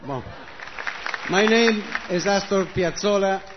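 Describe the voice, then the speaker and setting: A man's voice: high voice, slow pace, crowd noise, noisy background. A man from Argentina introducing his music to a concert audience from an outdoor stage, switching languages and joking in a loose, conversational way. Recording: muffled live recording with audience.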